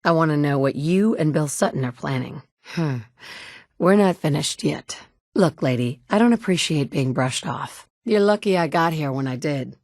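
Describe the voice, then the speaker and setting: A wry, low-voiced wasteland survivor. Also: female voice, expressive, normal speed, darkish tone, no room sound, studio quality. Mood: wry, blunt. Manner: wry, blunt and self-assured. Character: confident, wry adult woman wasteland survivor. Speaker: player character in a video game. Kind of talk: game dialogue lines.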